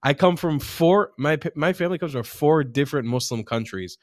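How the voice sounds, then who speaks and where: A man's voice: on the high side, lively intonation, a little fast, no room sound, studio quality. A man from Israel, a journalist guest on a video call, talking passionately and arguing with rhetorical questions. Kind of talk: casual interview chat.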